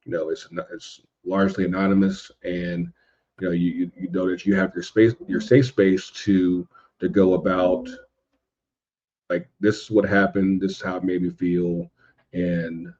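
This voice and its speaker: A man with a deep voice talks casually in a podcast discussion. He speaks haltingly, restarting and repeating words as he thinks. The recording is dull and lo-fi. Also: level tone, a little mellow. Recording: dull, lo-fi microphone sound.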